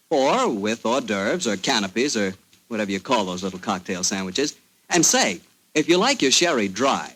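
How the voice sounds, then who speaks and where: Lively 1940s male radio pitchman. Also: low-quality recording. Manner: folksy salesmanship. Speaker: radio announcer and sponsor's pitchman. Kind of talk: 1940s radio advertising announcer.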